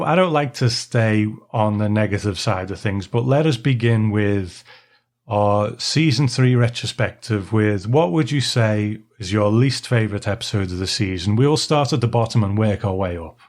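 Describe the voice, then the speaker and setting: A man's voice: on the low side, normal speed, a little mellow. A man hosting a podcast, moving between prepared remarks and easy conversation with a guest. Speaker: podcast host. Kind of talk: podcast talk, partly prepared monologue and partly chat.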